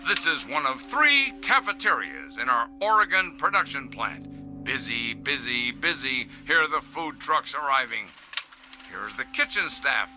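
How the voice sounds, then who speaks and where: Pompous, bright-voiced company boss. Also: male voice, mid-range voice, full character voice. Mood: smug, scornful. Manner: pompous, showy salesman's delivery with grand pauses. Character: pompous, self-satisfied male factory boss, zealous for automation. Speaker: company head addressing shareholders. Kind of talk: radio drama acting as a corporate video presentation.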